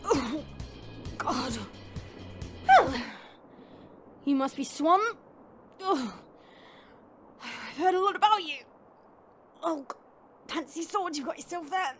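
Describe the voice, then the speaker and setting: A woman playing a cocky, mocking female vampire monster in an audio roleplay drama, with a drawn-out, sarcastic delivery. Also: high voice, music in the background. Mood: sardonic, defensive.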